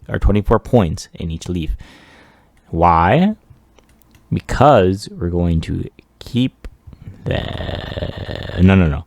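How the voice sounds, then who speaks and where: Man, very deep voice, dry close sound, casual screen demo, thinking aloud, self-deprecating. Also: darkish tone, no room sound. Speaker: 3D artist presenting a project. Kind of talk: casual software demo walkthrough.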